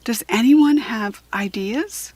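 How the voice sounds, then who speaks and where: A woman's voice: on the high side, slow, darkish tone, husky, no echo. A woman reader voicing a kindly schoolteacher who can be stern, in a children's storybook. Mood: encouraging.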